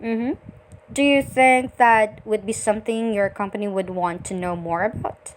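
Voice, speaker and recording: A woman from the Philippines with a Filipino accent, speaking politely and deliberately as a virtual assistant on a scripted sales call. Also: mid-range voice, fairly even intonation, background noise. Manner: polite, deliberate, frequent acknowledging murmurs.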